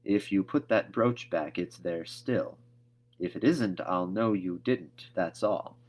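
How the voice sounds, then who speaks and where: A man reads the part of a strict woman guardian from a storybook. The voice sits low, and the words come firm, clipped and scolding, with no nonsense about them. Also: slow, darkish tone.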